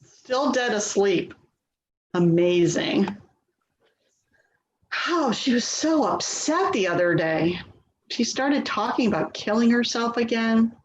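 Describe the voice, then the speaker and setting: A woman's voice: fairly high pitch, animated, takes it slowly, long pauses. A woman acting an anxious, self-doubting grown daughter in a play reading, speaking in a placating, hesitant way. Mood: dejected. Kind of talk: dramatic play reading, naturalistic dialogue. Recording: echoey, dull, noisy remote recording.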